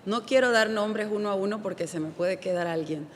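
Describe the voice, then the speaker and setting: Salvadoran lady delegate speaking calmly from the floor, echoey hall, a bit of hiss. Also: mid-range voice, a little fast. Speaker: parliamentary delegate. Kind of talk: formal floor intervention. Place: large conference hall. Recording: echoey hall, faint noise.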